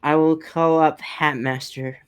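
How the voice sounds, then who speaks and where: A man's voice: on the high side, flat delivery, measured pace, clean tone, dry recording. A man chatting casually on an online panel, moderating and speaking as a voice actor in a hesitant, polite way. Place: online panel over voice call.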